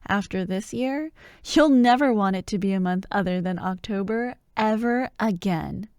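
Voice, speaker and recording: A woman playing a bubbly, bossy young childhood friend who loves Halloween, in an animated, teasing ASMR roleplay. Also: medium pitch, normal speed, clear, resonant tone, no echo, clean studio sound, lightly acted. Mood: teasing, hyped. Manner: animated, expressive, teasing, with laughs and sighs. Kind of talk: ASMR roleplay, one-sided acted conversation.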